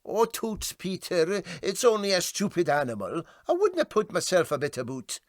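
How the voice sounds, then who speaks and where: A man's voice: high voice, sing-song, normal speed, no room sound, studio quality, voice pushed up. A man playing a soothing, sympathetic, philosophical ship's mate, in a storybook reading. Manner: placid, philosophical.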